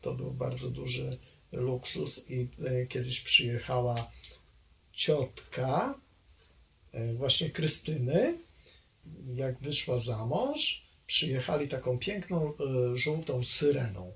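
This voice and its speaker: A man in his sixties with a gravelly, creaky voice, speaking at a middle pitch. He reminisces in a hesitant way, pausing on fillers and starting phrases over as he searches for details. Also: animated, a bit bright. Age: 60s. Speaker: interviewee recalling childhood. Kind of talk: oral history interview.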